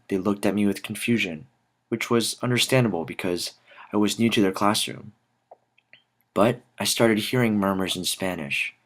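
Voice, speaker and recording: A young American man delivering a rehearsed personal story in measured, deliberate phrases with dramatic pauses. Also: lowish voice, fairly bright tone. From Dallas, Texas, United States. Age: young adult. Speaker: student art teacher telling a personal story. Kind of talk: rehearsed spoken personal narrative.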